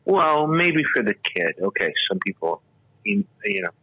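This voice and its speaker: A man's voice: on the low side, sing-song, a little slow, pushed and bright, breathy edge, no echo. A man in his sixties, a radio talk show host, telling stories in a rambling, casual way full of fillers and restarts. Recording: dull, lo-fi radio audio.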